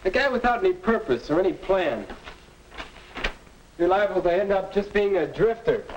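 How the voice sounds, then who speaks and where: Preachy, confident American schoolboy, high-pitched for a male, dull fifties educational film soundtrack. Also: expressive, moderate pace, a little hiss or hum. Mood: preachy, blunt. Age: young adult. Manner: preachy, earnest. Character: earnest high school boy reporter, confident, advice-giving friend. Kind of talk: 1950 instructional film acting.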